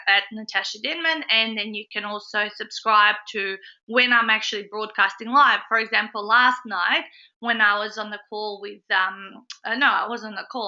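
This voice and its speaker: A woman's voice: mid-range voice, bright, forceful tone, muffled recording. A woman hosting a live group call in a casual, chatty way, full of ums and uhs, sounding a little nasal from a cold. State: has a slight cold, a bit nasal. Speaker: host of a business support group call. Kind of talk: casual webinar talk to members.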